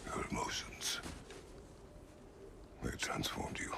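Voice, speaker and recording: An actor playing a stern, weary warrior god and father in a video game, speaking tersely in a gruff, commanding growl. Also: slow, in a whisper, lightly acted. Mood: stern, hushed. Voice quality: deep, gravelly, breathy growl.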